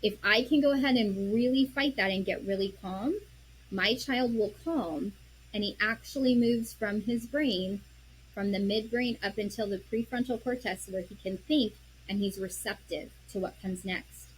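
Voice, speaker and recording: A woman guest on an interview show, earnestly explaining how parents can respond calmly, often acting out what she would say to her child. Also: medium pitch, moderate pace, slight background noise, low-quality recording. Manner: earnest, explains with examples and quoted self-talk; drops to a soft, quiet voice when modeling calm. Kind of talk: conversational interview.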